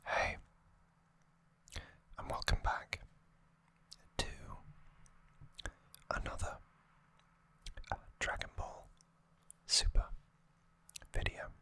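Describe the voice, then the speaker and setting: ASMR host, fully whispered and breathy, slow delivery in short hushed phrases, crisp and very bright. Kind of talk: ASMR whispered anime recap and trivia.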